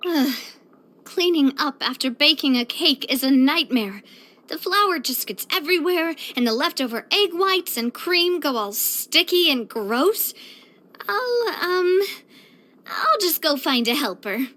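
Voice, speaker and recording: A young woman voicing a cheerful, elegant young lady leader in a video game, earnest, chatty and expressive. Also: high voice, unhurried, exaggerated voice. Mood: disgusted, sheepish. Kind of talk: video game character voice lines.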